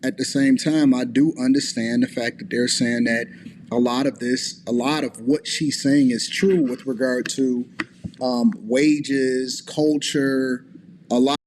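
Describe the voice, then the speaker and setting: A man in a played clip giving measured, articulate political commentary. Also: medium pitch, fairly even intonation, darkish tone, slight background noise.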